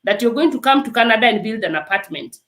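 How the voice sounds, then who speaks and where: A woman's voice: fairly high pitch, expressive, quick pace, fairly bright tone, reverberant. A woman from Kenya with a Kenyan accent, a nurse speaking as an interview guest in an earnest, urging way. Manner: earnest and exhortative, repeats "let me tell you". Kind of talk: emphatic testimony in an interview.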